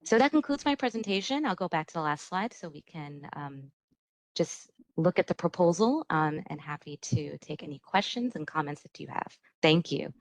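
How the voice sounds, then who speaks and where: A woman presenter closing her presentation politely and professionally. Her voice sits low, with a dry, close sound. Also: animated, normal speed, no echo, low-quality recording. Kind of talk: presentation wrap-up.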